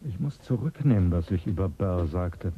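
A man's voice: low voice, lively intonation, normal speed, lo-fi sound, a little hiss or hum. An actor playing a blunt, formal spaceship crewman in a radio play.

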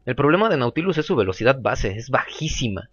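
A man's voice: medium pitch, quick pace, husky, highs cut off. A man with a sore throat, casually commentating his own gameplay and often repeating phrases. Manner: relaxed, repeats phrases, chatty.

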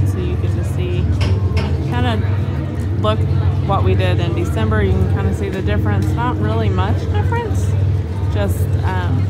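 A woman in her thirties, hosting a casual vlog and chatting in an upbeat way with many fillers, recorded outdoors on a phone. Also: medium pitch. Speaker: vlogger host. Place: outdoors at a busy theme park. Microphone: phone camera held by the speaker. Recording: loud crowd and music behind.

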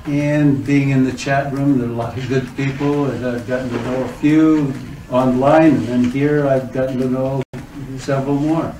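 Older retired man, sincere and measured, roomy interview sound. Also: mid-range voice, steady tone, warm tone, distant mic, a little hiss or hum. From California. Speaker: student, retired dentist. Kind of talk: testimonial interview.

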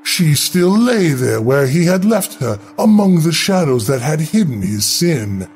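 Male horror storyteller: higher-pitched voice, deliberate theatrical delivery, very animated intonation, over music. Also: a little hiss or hum. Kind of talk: dramatic scripted horror narration. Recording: music bed under the voice.

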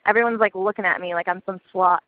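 A young woman telling a story in casual chat on a phone call, chatty and quick to swear. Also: medium pitch, steady tone, a little hiss or hum. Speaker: caller. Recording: dry tinny phone line.

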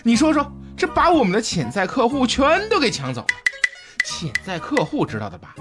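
Melodramatic, whiny shopkeeper. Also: male voice, extremely high pitch, wildly swooping pitch, full character voice. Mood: aggrieved, boastful. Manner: melodramatic, sing-song wailing with drawn-out words. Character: whiny, boastful second-in-command shopkeeper, prone to weeping. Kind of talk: comic radio play acting. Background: music behind the voice.

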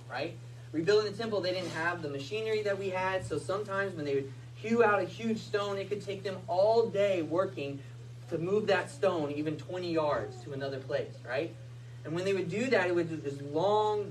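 Male pastor, 30s, lively storyteller, recorded from the back of a small hall. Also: fairly high pitch. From United States. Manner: animated storytelling with hand gestures. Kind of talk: sermon. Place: small church hall with Christmas tree. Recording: distant mic, faint room noise.

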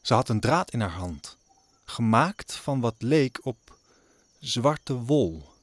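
A man's voice: mid-range voice, lively intonation, takes it slowly, no echo. A man narrating an audio drama as a scripted, dramatic story. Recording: music under the narration.

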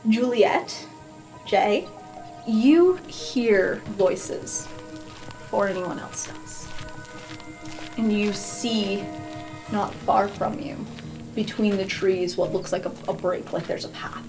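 A woman's voice: medium pitch, takes it slowly, long pauses, poor audio. A woman running an improvised tabletop game, narrating scenes as game master. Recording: music playing behind the voice. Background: music.

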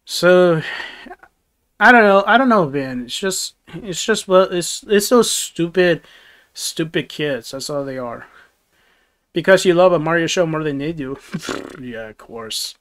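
A man's voice: a little high, expressive, a little slow, a bit bright. A young man hosting a casual livestream from a small bedroom, answering viewer comments with lots of restarts and chuckles into a headset mic. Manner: rambling, many restarts and chuckles. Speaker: livestream host. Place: small dim bedroom studio. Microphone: gaming headset.

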